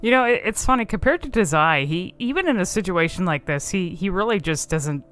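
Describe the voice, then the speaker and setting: A woman's voice: on the low side, animated, brisk, a bit bright, faint music behind. A woman giving casual, off-the-cuff commentary on a video game in a rambling, informal, amused manner.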